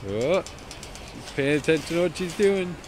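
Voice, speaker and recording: A man's voice: fairly high pitch, lively intonation, measured pace. A man filming children skiing, calling out casual encouragement from the slope. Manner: cheering, encouraging. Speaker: parent filming kids skiing. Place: outdoors on a ski slope. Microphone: phone camera held while skiing. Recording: wind noise outdoors.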